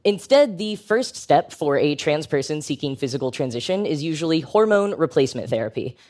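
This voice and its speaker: A man's voice: medium pitch, darkish tone. American man giving a wry, conversational talk. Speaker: public speaker. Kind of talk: prepared live talk, humorous and educational.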